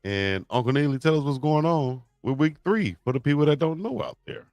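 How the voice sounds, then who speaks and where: A man's voice: a little high, sing-song, fairly quick, a little mellow, dry recording, faint music behind. A middle-aged man co-hosting a casual sports chat, telling stories in a laid-back way with plenty of fillers. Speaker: co-host. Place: Hawaii, at home on a live stream.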